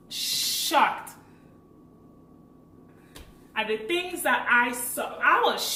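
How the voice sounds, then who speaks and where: A woman in her late twenties from Africa, with a French accent, chatting casually as a vlogger and telling a personal story with lively, laughing energy. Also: fairly high pitch, echoey room, recorded from a distance, a little hiss or hum, rough recording. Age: late 20s. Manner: chatty and animated, laughs while telling stories.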